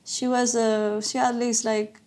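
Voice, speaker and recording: Lively woman from Ecuador telling her story. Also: fairly high pitch. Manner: lively storyteller, eager and quick. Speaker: guest, animator. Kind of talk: casual interview chat.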